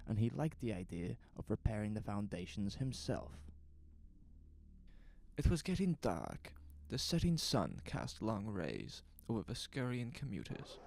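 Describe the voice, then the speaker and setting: A man's voice: fairly low pitch, creaky, dark tone, a little hiss or hum. A man narrating a scripted horror story, with ambient sound effects behind him.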